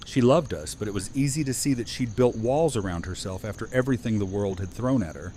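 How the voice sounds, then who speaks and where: An actor plays a sincere, gentle man in a fan audio drama. His voice sits a little low, with faint noise behind it. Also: brisk, lightly acted. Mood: tender, sincere. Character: Aaron, gentle, sincere man giving wedding toast.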